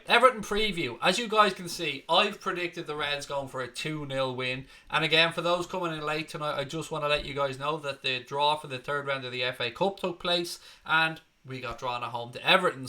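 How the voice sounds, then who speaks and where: A man's voice: on the high side, pushed and bright. A young Irish man hosting a fan live stream, chatting bluntly and swearing casually as he reads out viewer comments. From Ireland. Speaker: fan channel host.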